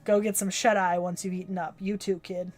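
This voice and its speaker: A woman voicing a gruff, proud older male swordsmith with a growling voice and curt, commanding delivery, reading a game's story aloud on stream. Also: fairly low pitch, flat delivery, a little fast, darkish tone, close to an everyday voice. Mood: warming.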